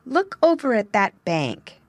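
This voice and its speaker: A woman's voice: on the high side, big pitch swings, measured pace, no echo, voice pushed up. A woman voicing a young male muskrat who talks earnestly in a slightly raised voice. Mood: puzzled.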